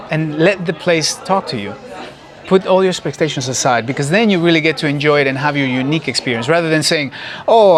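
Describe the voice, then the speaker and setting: A man whose first language is Spanish, a guest in a casual interview, speaking in an earnest, reflective and measured way outdoors in a city. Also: on the high side, a bit bright.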